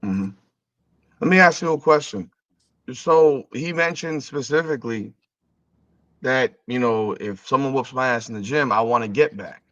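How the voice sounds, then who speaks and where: Man, podcast interviewer, average pitch, dry studio-like closeness, casual conversational questioning. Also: moderate pace, fairly bright tone, dry recording, muffled recording.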